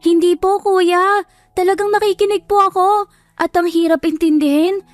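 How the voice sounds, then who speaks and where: A small, earnest child from an audio story, put on by a woman narrator. The voice is very high, polite and eager. Soft music plays under a dry recording. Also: steady tone, moderate pace, no echo. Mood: eager, admiring. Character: earnest little child, admiring younger sibling.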